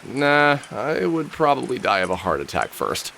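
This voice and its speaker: A man's voice: medium pitch, very animated, moderate pace, vocal fry, bright, forceful tone, slight background noise, full character voice. An actor playing a wry, world-weary middle-aged man in a video game, muttering sardonically as he thinks aloud. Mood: sardonic. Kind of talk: scripted game character monologue, thinking aloud. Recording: music under the voice. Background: music.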